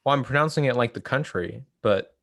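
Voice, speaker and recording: A man hosting a casual book discussion, explaining in a halting way as he thinks aloud. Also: mid-range voice, creaky, a bit bright, dry recording, studio quality. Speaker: host of a political book read-along.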